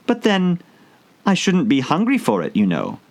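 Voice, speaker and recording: Curious storybook girl, acted by a man: raised, lively pitch, gentle, thoughtful, well-mannered. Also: moderate pace. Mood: cautious, thoughtful. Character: polite, curious, cautious young girl.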